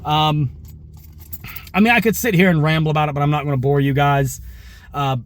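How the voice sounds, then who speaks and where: Slightly hoarse, higher-pitched man giving casual commentary. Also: no echo. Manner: conversational and rambling, with asides and self-corrections. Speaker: wrestling reaction show host. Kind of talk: casual opinion commentary to viewers.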